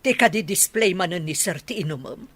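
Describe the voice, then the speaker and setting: A woman acting a stubborn, scolding house caretaker in an Ilocano radio drama, sharp-tongued in manner. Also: on the low side, expressive, a little fast, husky. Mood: scolding, indignant.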